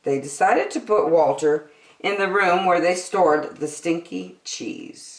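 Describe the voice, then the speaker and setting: A woman reading a children's picture book aloud as a story-time host, with an expressive, dramatic delivery. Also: on the low side, unhurried, vocal fry. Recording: echoey room.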